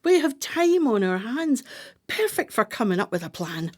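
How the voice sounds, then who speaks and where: A woman's voice: a little high, sing-song, moderate pace, a little mellow. A woman playing a scheming, imperious, artistic retired wife in a radio play, with dramatic, emphatic delivery. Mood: exasperated, coaxing.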